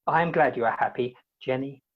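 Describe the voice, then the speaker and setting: On a dull, lo-fi call recording, a woman plays a bashful young bridegroom. The voice is low and husky, with a fastidious, self-righteous delivery from theatrical radio comedy. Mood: bashful, romantic. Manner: mannered, fastidious, precious. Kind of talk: theatrical comic radio play acting.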